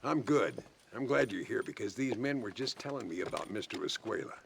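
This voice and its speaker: An actor playing a grizzled, folksy old gunslinger with an American accent and a wordy, theatrical drawl in a western game. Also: male voice, lowish voice, animated, fairly quick, a bit bright, slight background noise. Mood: genial. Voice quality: gravelly. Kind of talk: western video game acting.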